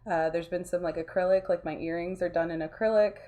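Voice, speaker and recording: A woman's voice: on the low side, fairly even intonation, quick pace, dark tone. A woman talking casually as an interview guest, thoughtful and informal, often restarting her sentences. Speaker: interview guest, artist and voice actor.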